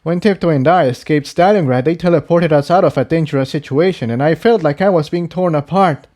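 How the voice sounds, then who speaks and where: An exhausted male unicorn from a fantasy storybook, acted out by a man reading aloud. The voice sits above a plain male register and is mellow, with a weary, strained manner that sounds haunted and apologetic. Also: fairly high pitch, fairly quick, voice pushed up, natural voice. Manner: weary, strained, sometimes sardonic.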